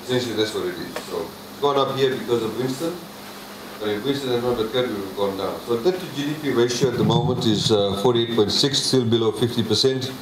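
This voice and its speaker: A man's voice: mid-range voice, background noise. Man presenting in an echoey hall. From Fiji. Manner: measured, many filler pauses. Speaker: government minister presenting a budget. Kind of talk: public presentation with slides. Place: public consultation meeting hall. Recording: echoey hall, faint ambience.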